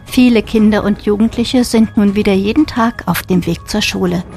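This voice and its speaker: A woman's voice: mid-range voice, normal speed, a little mellow, soft background music. A woman presenting radio news in a studio and interviewing a new volunteer in a friendly way.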